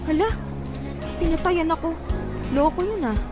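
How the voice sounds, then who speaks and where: A woman's voice: very high voice, animated, moderate pace. A woman voicing a shy young woman in love who gets flustered and scolds playfully. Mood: bashful. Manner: bashful, laughs at teasing, scolds playfully. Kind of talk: radio drama acting. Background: background music.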